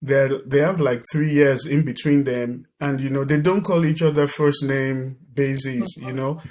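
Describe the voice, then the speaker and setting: A man's voice: mid-range voice, steady tone, unhurried, darkish tone. A middle-aged man with a Nigerian accent, talking as a guest in a conversational interview and often repeating and restarting his words. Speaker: podcast guest.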